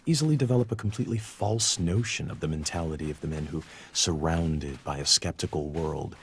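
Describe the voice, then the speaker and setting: A man delivers scripted quotations with measured earnestness. His voice sits low, with plenty of gravel and fry, and there is faint noise behind it.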